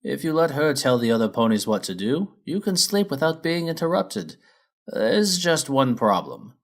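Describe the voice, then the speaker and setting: Guy doing a wise old pony for a kids storybook, helpful, drawn out words, pitch swoops around a lot. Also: big pitch swings, moderate pace. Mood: sage advice. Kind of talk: storybook character voice.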